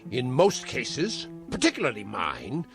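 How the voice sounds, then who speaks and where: An actor playing a spiteful, frail old uncle in a vintage television drama, speaking in ornate, sardonic, theatrical phrases. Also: male voice, mid-range voice, wildly swooping pitch, a little slow, vocal fry, over music, heavily acted. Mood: gloating. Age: older.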